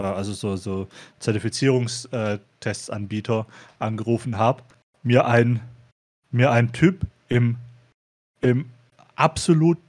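A man co-hosting a casual talk, speaking haltingly and often repeating words as he searches for phrasing. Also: mid-range voice, darkish tone. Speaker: podcast co-host. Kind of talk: casual chat.

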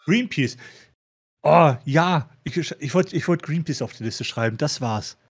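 Man chatting casually, haltingly. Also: a little high, expressive, slightly rough. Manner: halting, repeats words as he searches for phrasing. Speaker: podcast co-host. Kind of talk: casual chat.

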